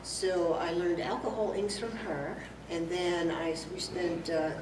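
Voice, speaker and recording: A woman's voice: fairly low pitch, a little slow. A woman introducing herself at a club meeting in a chatty, storytelling way. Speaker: new member introducing herself. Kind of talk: casual self-introduction. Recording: echoey room, distant mic, noise.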